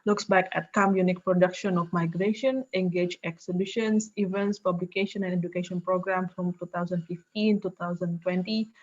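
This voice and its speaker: A woman's voice: on the low side, fairly even intonation, brisk, few pauses. A woman hosting an online talk, posing questions and partly reading from notes, over a lo-fi call. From Indonesia. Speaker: host, interviewer.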